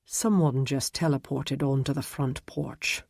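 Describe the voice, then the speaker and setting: A woman voicing a calm, protective man who speaks firmly. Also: rumbling low, a little slow, gravelly, no room sound, studio quality, heavily acted.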